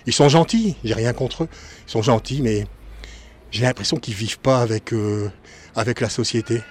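A man from northern France, a former cook, giving frank opinions slowly with hesitations. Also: medium pitch, husky. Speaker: interviewed resident, former cook. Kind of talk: street interview.

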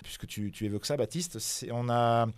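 A man's voice: medium pitch, fairly quick, clean tone, dry recording. A man whose first language is not French, presenting a cultural program in a radio interview, hesitant with many fillers and restarts.

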